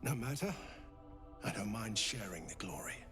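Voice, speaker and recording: A man's voice: lowish voice, animated, normal speed, natural voice. Man playing a sly traitor soldier, music behind. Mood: smug, conspiratorial. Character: sly male traitor soldier serving a dark general. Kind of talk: video game cutscene acting.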